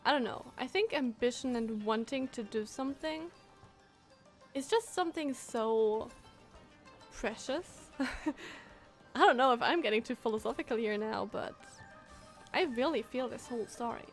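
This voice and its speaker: A woman gives casual gameplay commentary as a streamer. Her voice is fairly high and she reacts emotionally and exclaims often. Faint game music runs behind her. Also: a little slow, long pauses.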